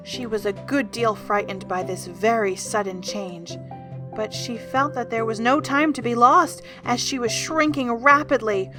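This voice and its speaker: A woman reading scripted storybook narration for an audio drama, as an expressive storyteller. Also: a little high. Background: music.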